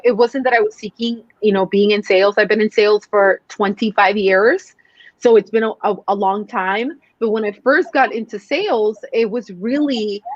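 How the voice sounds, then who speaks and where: A woman's voice: medium pitch, no echo. Sales coach woman from mexico, spanish first language, talks earnest with lots of fillers, kinda muffled dry audio. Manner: earnest, storytelling, frequent fillers. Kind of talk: casual interview talk. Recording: dull lo-fi sound.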